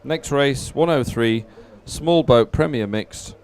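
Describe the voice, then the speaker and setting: A man's voice: medium pitch, slow pace, darkish tone, smooth. A man giving live, unscripted sports commentary in a chatty, hesitant, explanatory way.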